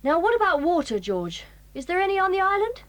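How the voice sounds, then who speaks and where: A woman's voice: extremely high pitch, very animated, brisk, dry recording. An actress playing a sensible, organised eldest boy who takes charge of the group. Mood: planning.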